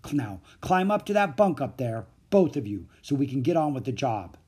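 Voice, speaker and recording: A man voicing a cocky, bossy hijacker in a storybook, with forceful, smug delivery. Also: mid-range voice, very animated, moderate pace, breathy edge.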